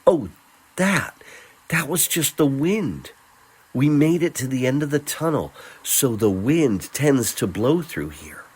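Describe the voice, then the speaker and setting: A man acts out a gentle, protective young man in a fantasy audio drama. The voice is slightly husky and expressive, earnest and casually reassuring, like someone leading the way. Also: mid-range voice, slow pace, pitched above the performer's own voice. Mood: amused, reassuring.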